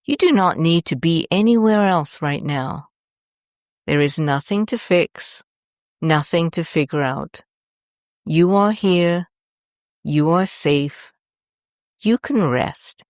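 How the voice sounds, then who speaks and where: Slow, low-pitched woman guiding meditation. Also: darkish tone, dry recording, studio quality. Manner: soothing, deliberate, with long pauses between short phrases. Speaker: host and meditation guide. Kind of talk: scripted guided meditation narration.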